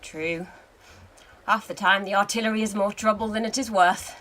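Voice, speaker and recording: A woman in a radio play acting a wry, seasoned male French army commander, with a measured, commanding theatrical delivery. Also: medium pitch, steady tone, a bit bright, close to an everyday voice.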